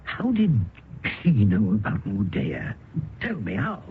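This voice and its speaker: Concerned gentleman, tinny old radio. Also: lowish voice, wildly swooping pitch, takes it slowly, slight background noise, full character voice. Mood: anxious, urging. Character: educated, sceptical gentleman friend narrating, loyal and concerned. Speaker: narrator and character. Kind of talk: old-time radio drama acting and narration.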